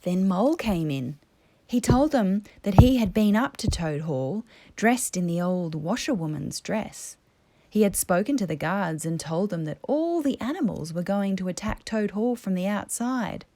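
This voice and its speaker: A woman narrating a children's story as a storyteller, in a measured, expressive way. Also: mid-range voice.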